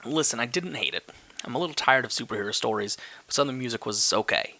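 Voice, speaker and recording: A man's voice: medium pitch, quick pace, creaky, sharp tone. A man playing a jaded, grudging theater critic with a dry, unimpressed manner in an audio drama. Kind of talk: scripted audio drama review banter.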